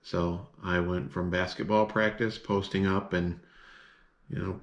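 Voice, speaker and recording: A man from the northern United States with a low, deep voice, chatting casually to his viewers. He rambles and fills pauses with ums and you-knows. The room around him rings noticeably. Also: little pitch movement. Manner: rambling, many filler words. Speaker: vlogger. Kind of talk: casual chat to camera. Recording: echoey room.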